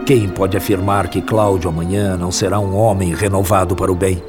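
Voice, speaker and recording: A man's voice: sits low, little pitch movement, lightly acted. An actor playing a wise, compassionate spirit mentor in a radio drama, speaking formally and patiently, like a fatherly teacher. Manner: measured, didactic, formal, fatherly. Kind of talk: radio drama acting, solemn discourse. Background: music.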